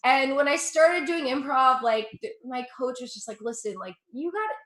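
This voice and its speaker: A woman's voice: high voice, moderate pace, fairly bright tone, roomy sound. A young woman from Russia with an American accent doing a chatty, profane stand-up set, laughing at her own jokes. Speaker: stand-up comedian.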